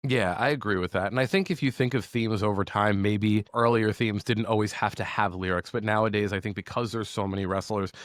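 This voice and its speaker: Male host with a deep voice, eager and chatty, dry and close. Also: steady tone, brisk, few pauses, dry recording. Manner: enthusiastic, talkative. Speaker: podcast host. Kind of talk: casual interview chat.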